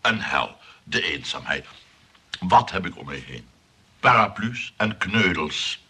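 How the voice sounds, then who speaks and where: A pompous, lonely male suitor in a comic radio play, with a very husky voice that swings widely in pitch. He stammers, repeats himself and pauses for drama, pleading and spiteful by turns. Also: big pitch swings, bright, forceful tone, full character voice. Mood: self-pitying.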